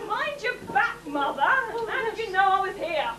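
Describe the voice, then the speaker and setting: A woman's voice: very high-pitched, sing-song, moderate pace, over a noisy crowd. A woman playing a cheeky, brave young hero in an amateur stage pantomime, tossing out quips. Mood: quipping. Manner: cheeky, defiant, quipping. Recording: echoey hall, distant mic, noise and hum.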